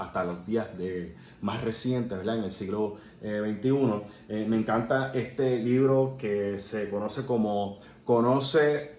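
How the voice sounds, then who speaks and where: A man's voice: lowish voice, darkish tone. A man teaching a Sunday Bible class in an earnest way, often checking in with his listeners. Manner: earnest, with frequent "¿verdad?" and "eh" fillers. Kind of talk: Sunday school Bible lesson. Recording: roomy echo, faint background noise.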